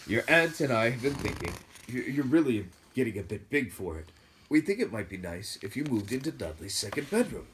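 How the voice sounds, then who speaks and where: A man voicing a short-tempered middle-aged uncle who talks gruffly and blusters. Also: medium pitch, lively intonation, normal speed, a little mellow, slight background noise, voice pushed up, close to an everyday voice. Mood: blustering.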